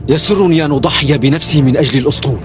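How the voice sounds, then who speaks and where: A man's voice: a little high, very fast, music in the background, close to an everyday voice. A man voicing a loyal soldier ready to sacrifice himself for the fleet in a dubbed cartoon, earnest and devoted. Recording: background score under voice.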